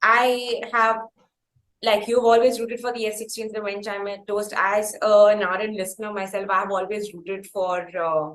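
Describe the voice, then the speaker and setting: A woman's voice: mid-range voice, little pitch movement, moderate pace, fairly bright tone. A woman with an Indian accent hosting an interview conversationally, effusive and appreciative toward her guests. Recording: echoey room sound.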